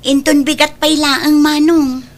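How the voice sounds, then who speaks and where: A woman's voice: high voice, little pitch movement, a little slow. Woman acting an earnest Ilocano younger sister. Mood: eager, reassuring. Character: dutiful, self-sacrificing working younger sister. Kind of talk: amateur Ilocano audio drama acting.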